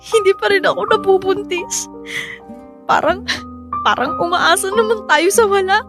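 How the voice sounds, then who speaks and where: A wistful young Filipino wife in a radio drama has a high, plaintive voice. She is emotive and easily discouraged, with clear music running beneath her. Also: normal speed. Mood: discouraged, frustrated. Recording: music bed under the voice.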